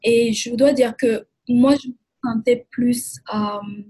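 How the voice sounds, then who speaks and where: A woman from Burkina Faso, answering interview questions in hesitant, measured phrasing. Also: on the high side, fairly even intonation, dark tone, husky. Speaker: guest, immigration lawyer.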